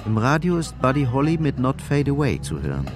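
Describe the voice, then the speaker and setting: A man's voice: mid-range voice, very animated, a little fast. A man narrating a scripted radio drama, with music behind his voice.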